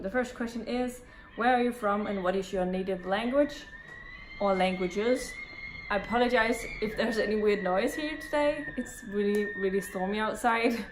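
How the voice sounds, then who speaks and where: A woman's voice: medium pitch, a little hiss or hum, low-quality recording. Danish woman chatting in a book vlog. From Denmark. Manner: chatty, many ums and restarts. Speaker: book vlogger. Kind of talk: casual vlog chat.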